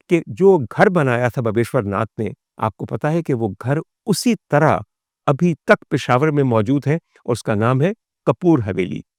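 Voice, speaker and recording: A man's voice: on the high side, darkish tone, no echo. Pakistani man, theatrical storyteller, dry close sound. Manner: theatrical, rhetorical questions, formal addresses to the audience; mixes English phrases into speech. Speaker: vlog host. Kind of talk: storytelling monologue on film history.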